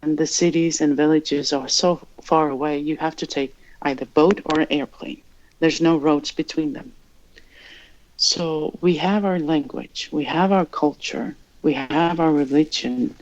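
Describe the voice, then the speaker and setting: A woman from Greenland whose first language is Greenlandic, speaking English slowly and thoughtfully, searching for words as an interview guest. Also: low voice, a little mellow. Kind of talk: reflective interview answers. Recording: dull lo-fi sound.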